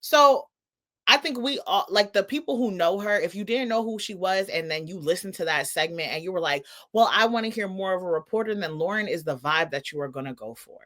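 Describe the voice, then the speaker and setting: A woman's voice: medium pitch, animated, fairly quick, a bit bright. A woman co-hosting a commentary show, giving casual opinions with emphasis and slang.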